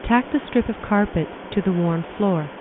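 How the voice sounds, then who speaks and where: A narrow phone-like recording with plain noise behind the voice. A woman with a medium-pitched voice reads short, separate sentences. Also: normal speed. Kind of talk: reading test sentences. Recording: phone-like band, loud background noise.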